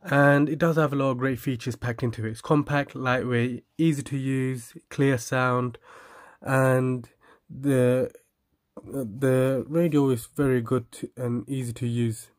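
A man casually demonstrating and reviewing a product, speaking haltingly as he lists features and repeats himself. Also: medium pitch, fairly even intonation, no echo, clean studio sound.

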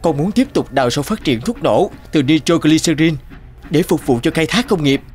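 A man's voice: on the high side, animated, normal speed, a little mellow, lightly acted. A man voicing an earnest member of an inventor's family in a dramatic cartoon dub. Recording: music under the voice.

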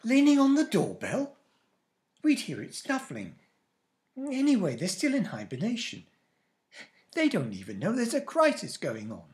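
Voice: mid-range voice, wildly swooping pitch, unhurried, long pauses, darkish tone. An actor playing Gerald's part as an elderly, wry and fretful husband in a radio comedy. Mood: puzzled. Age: older.

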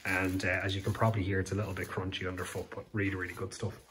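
A man's voice: low voice, flat delivery, racing, a bit bright. An Irish-accented man in his thirties giving a casual walk-through as a home renovation vlogger, recorded on a phone in a bare, empty house. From Ireland. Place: empty unfurnished house under renovation, indoors. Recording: echoey bare room, clear background noise.

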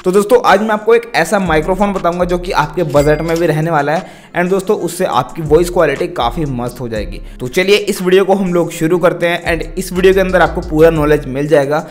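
A young man talks to his viewers like a tech vlogger giving advice. His voice sits a little higher than most men's. He speaks in an explanatory, direct way, with faint music behind him. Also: a little fast, hardly any pauses. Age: young adult. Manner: instructional, addresses viewers repeatedly. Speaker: tech video creator and host. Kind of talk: direct-to-camera product advice vlog. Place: small decorated indoor room. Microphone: wired lavalier held in hand. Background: background music.

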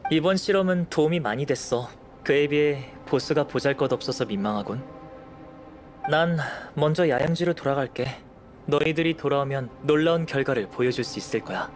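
An actor playing a calm, curious young male alchemist in a game, speaking in a measured, composed, articulate way. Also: fairly high pitch, natural voice. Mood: thoughtful. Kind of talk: scripted game dialogue. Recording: music behind the voice.

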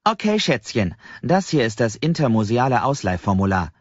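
A man voicing a chirpy, motherly middle-aged woman clerk in an audiobook, folksy and brisk, fond of pet names. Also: medium pitch, sing-song, no echo, lo-fi sound, pitched above the performer's own voice. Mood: brisk, businesslike. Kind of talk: acted audiobook dialogue.

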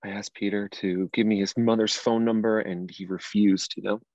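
A man's voice: on the low side, fairly even intonation, normal speed, a little mellow, lightly acted. A man acting a distraught, wounded and indignant character in his late thirties in a dramatic script reading. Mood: troubled, recounting. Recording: echoey room, slightly dull sound.

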